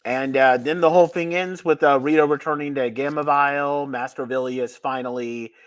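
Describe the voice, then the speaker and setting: A man's voice: medium pitch, steady tone, normal speed, clean tone. A man co-hosting a podcast, recapping a story in casual conversation. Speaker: podcast co-host recapping an episode. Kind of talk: casual chat, plot recap.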